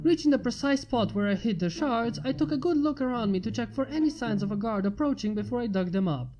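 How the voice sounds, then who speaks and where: A woman's voice: a little high, animated, quick pace, warm tone, rough recording. A woman reading a scripted story aloud as its narrator. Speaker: fan fiction reader. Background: music.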